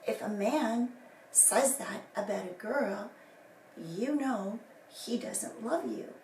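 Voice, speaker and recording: Slow, hoarse woman recovering her voice. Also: fairly high pitch, a little mellow, a little hiss or hum. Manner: rambling, many fillers and restarts. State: recovering from lost voice, hoarse and whispery. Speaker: person giving a personal account. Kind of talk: casual personal statement to camera. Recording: echoey room from a distance, faint ambience.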